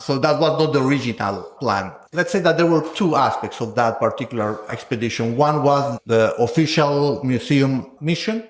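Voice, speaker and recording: A man speaking as a guest scholar in an interview, measured and thoughtful, often ending points with a questioning "no?". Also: medium pitch, reverberant. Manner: thoughtful, measured, tags statements with "no?". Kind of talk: conversational interview.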